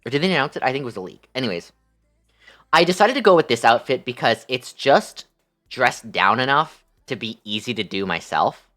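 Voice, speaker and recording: A man's voice: medium pitch, very animated, brisk, sharp tone. Young man chatting playfully on livestream. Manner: playful, affectionate, blows kisses to chatters. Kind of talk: casual livestream chat with viewers.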